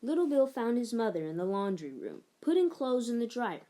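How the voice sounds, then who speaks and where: A woman narrates a children's story from a picture book. Her voice is on the high side and very melodic, and she tells it expressively, in measured phrases. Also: big pitch swings, mellow. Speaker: story reader.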